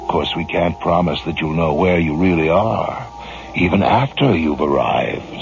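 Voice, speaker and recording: A man playing a sly, ominous horror anthology host, introducing a 1980s radio play with wry, drawn-out phrasing. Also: low voice, gravelly, over music. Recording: dull, noisy old radio recording.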